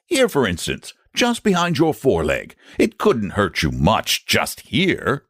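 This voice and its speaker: A man's voice: on the low side, huge pitch swings, measured pace, a bit bright, dry recording, clean studio sound, pitched above the performer's own voice, full character voice. Earnest storybook knight, coaxing, raised voice, put on by a man. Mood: persuasive. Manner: persuasive, earnest. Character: earnest, patient young knight.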